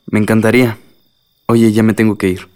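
A man's voice: fairly low pitch, level tone, fairly quick, a little mellow, clean tone, lightly acted. A man playing an easygoing, courteous young student in a radio drama, in a conversational way. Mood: friendly. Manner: polite, conversational.

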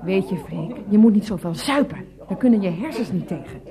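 A woman's voice: mid-range voice, lively intonation, brisk, a crowd in the background, lightly acted. An actress playing a domineering woman in a radio comedy drama, cutting, sarcastic and bossy. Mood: scornful, irritated.

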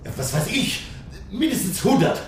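An actor playing an anxious professor in a radio drama, formal but agitated and insistent. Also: male voice, sits high, sing-song, moderate pace, a little hiss or hum. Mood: alarmed. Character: anxious, urgent male professor aboard a submarine. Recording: echoey room with music behind.

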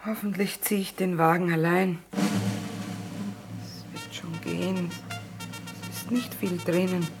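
A woman's voice: lowish voice, steady tone, unhurried, over music. An actress with a Southern German or Austrian accent playing a weary, stoical war-hardened trader mother in a radio drama, with subdued, plain folk speech. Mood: weary resolve. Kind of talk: stage-style radio drama acting.

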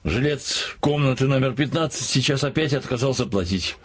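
A man playing a hot-tempered, grumbling innkeeper father in a theatrical radio play, with a husky voice. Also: mid-range voice, moderate pace, natural voice. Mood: grumbling, exasperated. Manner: blustering, barking, grumbling.

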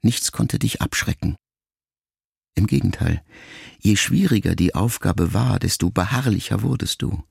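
An actor playing a pensive, melancholy grown son in a radio drama monologue, speaking to his mother in a gravelly, measured, intimate way. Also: lowish voice, no room sound, studio quality, exaggerated voice. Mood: quiet reproach. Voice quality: gravelly, creaky.

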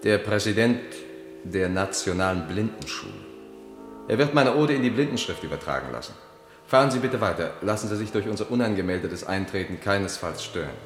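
Radio drama role: measured, enigmatic poet escort, urging and polite, lower register, strong echo. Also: male voice, expressive. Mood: formally polite. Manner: formal, measured, solemn. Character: male poet-guide Antonio, courteous, cryptic, urging his companion onward. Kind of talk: German radio play acting. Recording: echoey hall reverb, faint music.

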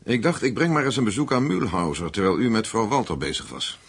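A man acting in a radio play as a calm American official, justifying himself in a matter-of-fact way. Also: on the low side, brisk, vocal fry, husky, heavily acted. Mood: calmly defensive.

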